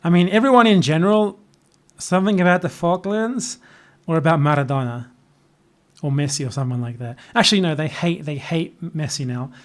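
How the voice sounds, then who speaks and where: Casting: male livestreamer, Australian accent, voice a little on the high side, casual, opinionated, dryly sardonic, unscripted. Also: brisk. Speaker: livestreamer answering chat questions. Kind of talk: casual off-the-cuff political chat.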